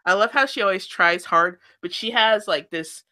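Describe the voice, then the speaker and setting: A woman with a somewhat nasal voice, co-hosting a live show, chatting in an animated way. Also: medium pitch, moderate pace. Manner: animated, laughs often. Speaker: podcast co-host. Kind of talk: casual chat about audiobooks.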